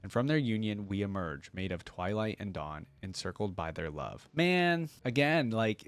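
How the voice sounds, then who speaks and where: A man's voice: low voice, dry recording. A man hosting a reaction video, reading stories aloud and commenting on them in a casual, slangy, conversational way. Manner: informal, conversational asides with slangy exclamations.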